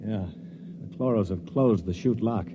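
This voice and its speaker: A man's voice: fairly low pitch, moderate pace, highs cut off, natural voice. A male actor playing a tense, decisive leader of captive men in a 1950s radio drama, urgent and commanding. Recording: noisy old 1950s radio recording.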